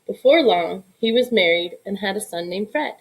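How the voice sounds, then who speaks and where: A woman reading a children's picture book aloud in an expressive storytelling style. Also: mid-range voice, normal speed, poor audio. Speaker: storybook reader.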